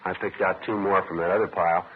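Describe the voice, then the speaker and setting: An actor playing a terse, methodical police detective and narrator in a vintage radio crime drama, speaking in a clipped, matter-of-fact way. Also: male voice, sits low, steady tone, quick pace, lightly acted. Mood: skeptical, businesslike. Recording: tinny, noisy old radio recording.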